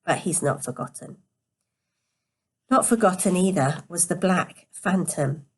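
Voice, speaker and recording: A woman reads a story aloud in a quiet, sleepy voice, careful but with the occasional stumble over the words. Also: on the low side, a little slow, husky. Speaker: storyteller reading a novel aloud. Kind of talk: scripted story narration.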